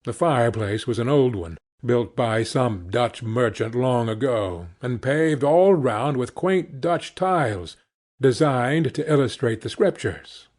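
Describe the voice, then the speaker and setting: A man reading a classic novel aloud as an audiobook, with measured and expressive storytelling. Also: medium pitch. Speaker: audiobook reader. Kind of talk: scripted narration of a classic novel.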